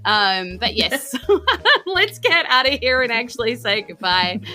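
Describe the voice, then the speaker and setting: A woman's voice: on the high side, sing-song, measured pace, pushed and bright, faint music behind. A woman with a New Zealand accent chatting casually and effusively as a podcast host and game master. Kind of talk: casual chat.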